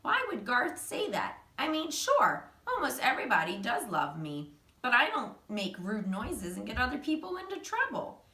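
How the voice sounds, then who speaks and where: A woman voicing a small, excitable male classroom pet hamster narrating a children's storybook with indignant exclamations. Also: on the high side, normal speed, voice pushed up, full character voice. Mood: hurt, puzzled. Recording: roomy echo, distant microphone.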